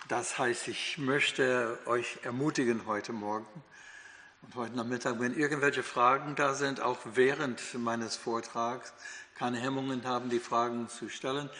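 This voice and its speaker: A man's voice: mid-range voice, fairly bright tone. An older man from the United States with an American accent, a native English speaker teaching the Bible in German, hesitant with many fillers and restarts. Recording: long hall reverb.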